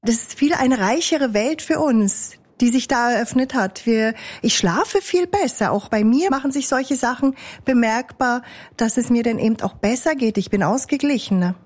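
A woman with a Swiss German accent interpreting aloud in the first person, measured and thoughtful, with small hesitations. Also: a little high, animated, darkish tone. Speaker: sign language interpreter.

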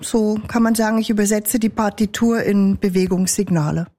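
Measured Swiss German woman interpreting. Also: mid-range voice, fairly even intonation, mellow. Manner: measured, thoughtful phrasing with small hesitations. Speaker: sign language interpreter. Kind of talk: spoken interpretation, first person.

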